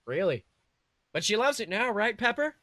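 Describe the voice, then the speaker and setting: Man reading as cocky, bright-voiced superhero. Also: high voice, very animated, normal speed, no room sound, clean studio sound, voice pushed up. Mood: teasing warmth. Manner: glib, casual banter.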